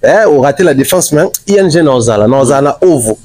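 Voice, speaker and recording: Emphatic Congolese man, mellow dry voice. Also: mid-range voice, expressive, a little fast, no echo. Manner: emphatic, mixes in Lingala words. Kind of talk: street interview.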